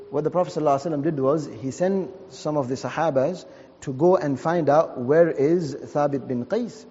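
A man's voice: a little high, mellow. A man giving a religious talk, telling a story and reciting Arabic phrases. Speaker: Islamic preacher. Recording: lo-fi with long reverb.

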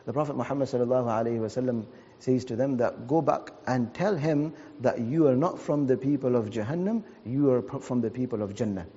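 A man's voice: medium pitch, fairly quick, dark tone, breathy edge. Man preaching in a reverberant hall. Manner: storytelling with Arabic phrases. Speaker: Islamic preacher. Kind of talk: religious talk. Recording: lo-fi with long reverb.

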